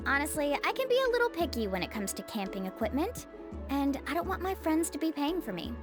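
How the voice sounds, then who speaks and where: Young female adventure guide for a game: high-pitched, animated, breezy, friendly and headstrong. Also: a little fast, music in the background. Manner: breezy, assured. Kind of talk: video game voice acting.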